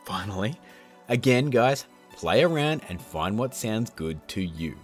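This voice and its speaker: A man's voice: on the low side, animated, measured pace, faint music behind. A man in his thirties presenting a casual tutorial in an informal, jokey, chatty manner. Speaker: host of an effects tutorial channel.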